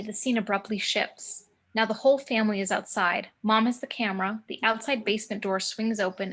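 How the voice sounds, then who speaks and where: A woman's voice: medium pitch, a bit bright. A woman reading screenplay action descriptions as narrator of a table read over a video call. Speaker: narrator reading stage directions.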